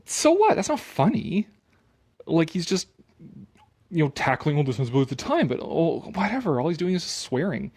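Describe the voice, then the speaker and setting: A man's voice: fairly high pitch, very animated, brisk, darkish tone, husky. A man reading an article aloud with casual, sarcastic commentary, blunt and dismissive, prone to swearing. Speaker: online commentator.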